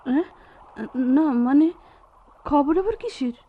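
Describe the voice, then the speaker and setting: Shy, high-voiced lovestruck village girl. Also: lively intonation, a little slow, narrow, tinny audio. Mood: flustered. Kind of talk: Bengali radio drama acting.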